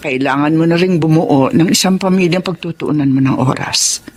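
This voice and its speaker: A woman's voice: low voice, normal speed, a little mellow, a little hiss or hum, lightly acted. An actress playing a frank mother who gives her blessing to her daughter's marriage. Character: blunt mother, now understanding. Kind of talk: radio drama acting.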